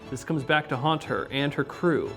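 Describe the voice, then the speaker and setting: A man's voice: medium pitch, moderate pace, over music. An American man from North Carolina giving interview commentary as an audio drama's creator. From North Carolina, United States.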